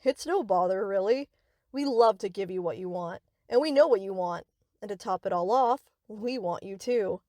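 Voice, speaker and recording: A woman's voice: mid-range voice, animated, moderate pace, a little mellow, no room sound, clean studio sound, close to an everyday voice. A woman playing a sweet, reassuring but possessive young yandere best friend in an anime-style audio roleplay, coaxing and doting.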